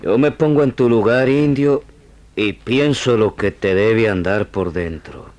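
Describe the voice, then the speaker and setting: An actor playing a wounded, frank gaucho sergeant from a frontier fort in a radio drama, with a rural Argentine accent. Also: male voice, lowish voice, a little slow, darkish tone, lightly acted. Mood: rueful. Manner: rustic, plain-spoken. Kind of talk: old radio drama acting.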